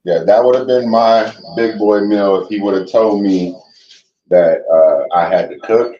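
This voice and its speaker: Deep-voiced laid-back guest man. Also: unhurried. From Cleveland, Ohio. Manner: laid-back, gives shout-outs. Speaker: guest cook and wrestler. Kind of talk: casual podcast chat. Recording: dull, roomy, distant mic.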